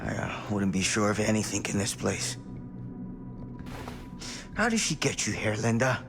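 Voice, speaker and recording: An ageing sheriff in a horror game cutscene, with prominent music behind him. He sounds haunted and weary. His speech is halting and intense, that of a confused, obsessive man. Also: on the low side, lively intonation. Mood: weary, guarded. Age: older. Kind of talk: video game cutscene acting.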